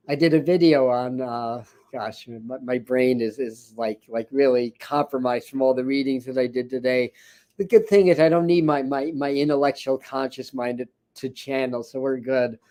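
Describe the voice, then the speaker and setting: Hoarse man hosting a spiritual livestream. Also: mid-range voice, fairly even intonation, normal speed, warm tone. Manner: rambling, many fillers and restarts. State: scratchy, hoarse voice. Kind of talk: casual livestream chat. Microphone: ring light setup, speaking to camera.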